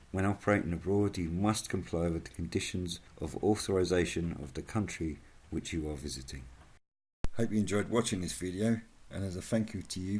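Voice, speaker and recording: A man with a husky voice reading scripted informational narration in a formal manner, as a video presenter. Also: sits low, darkish tone.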